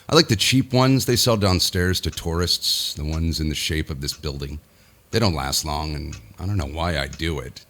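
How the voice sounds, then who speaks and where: A man's voice: deep voice, fairly bright tone. An actor playing a weary, self-important businessman who confides his nightmare theatrically in a horror audio drama. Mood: smug, confiding. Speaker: lead actor narrating in character. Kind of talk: dramatic first-person audio horror narration.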